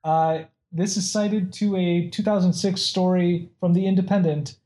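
A man's voice: high voice, steady tone, moderate pace, warm tone. A man casually chatting and reading out odd facts, rambling with many restarts and frequent laughs.

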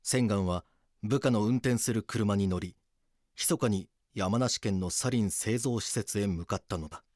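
A serious male police detective, played by a man narrates and acts in an audio drama. The voice is on the low side and very dry, measured and stern, with a caring streak. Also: a little mellow, no echo, close to an everyday voice. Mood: grave. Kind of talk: scripted documentary-drama narration and acting.

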